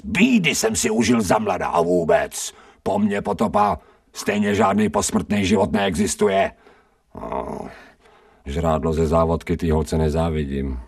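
Radio drama casting: scrawny sardonic stray dog, husky, wildly swooping delivery, self-pitying, set back from the mic. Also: male voice, low voice, distant mic, exaggerated voice. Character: bitter, streetwise, scrawny male stray dog.

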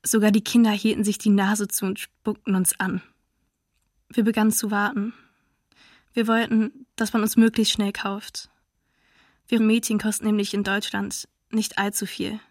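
A woman's voice: medium pitch, moderate pace, no room sound, studio quality, close to an everyday voice. A woman playing a humiliated young forced labourer writing to her mother, restrained, in a radio docudrama. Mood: subdued, wounded. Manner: restrained, plain, even. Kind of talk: radio docudrama letter reading.

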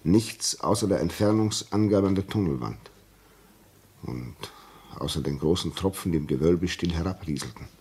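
An actor playing a grave, shaken railway signalman in a German radio play, telling his story haltingly and insistently. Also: low-pitched, a little mellow, breathy edge, full character voice. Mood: uneasy recounting. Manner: slow, halting, repeats words for emphasis.

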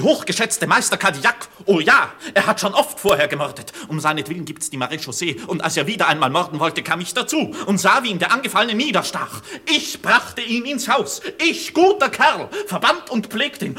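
An actor playing a despairing, bitter young craftsman accused of murder, in a theatrical 1950s radio play style, swinging between hushed and fervent. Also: sits high, husky, heavily acted.

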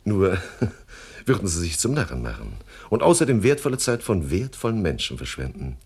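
A low, husky male swindler boss from a 1970s radio crime comedy. He is smooth and long-winded, dressing everything in mock courtesy, with a lively, swooping melody. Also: moderate pace, full character voice. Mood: breezily confident. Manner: verbose, mock-courteous, hesitant filler words.

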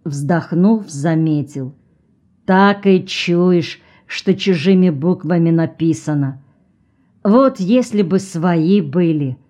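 A woman reading a literary novel aloud as an audiobook, calm and even, lifting her voice a little for the characters' speech. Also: on the low side, slow. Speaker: audiobook reader.

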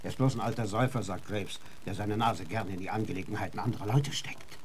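A man's voice: lowish voice, fast talker, a little hiss or hum, lightly acted. A man playing a slick, unscrupulous tour manager in a German radio drama, cajoling in a glib, familiar way. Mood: glib, reassuring.

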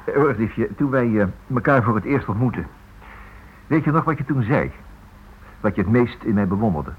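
An actor playing an idealistic, stubborn writer husband in a radio play, talking quickly over himself in a halting, hesitant way. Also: male voice, mid-range voice, animated, slight background noise, lightly acted. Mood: earnest, pleading. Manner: hesitant, halting with repeated words. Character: idealistic writer husband, absent-minded, evasive yet stubborn. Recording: thin phone-like old radio recording.

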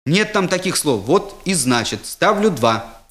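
A man's voice: on the high side, big pitch swings, unhurried, fairly bright tone. Man playing a strict literature teacher, firm tone, short instructions, strained patience, comic audio story. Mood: exasperated. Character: strict male literature teacher, patient but increasingly exasperated.

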